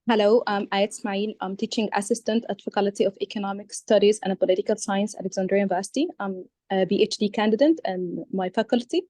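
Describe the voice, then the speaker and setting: Fast-talking woman, mellow and dry. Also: medium pitch, no room sound. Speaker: teaching assistant and PhD candidate. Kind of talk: self-introduction on a video call. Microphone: video call.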